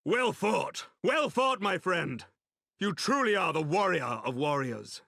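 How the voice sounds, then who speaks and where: Gravelly, forceful, valiant knight. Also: male voice, high-pitched, sing-song, a little slow, no echo, studio quality, heavily acted. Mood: hearty admiration. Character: valiant, courteous male knight, proud and loyal. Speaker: voice actor in a fantasy video game. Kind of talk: cinematic game cutscene acting.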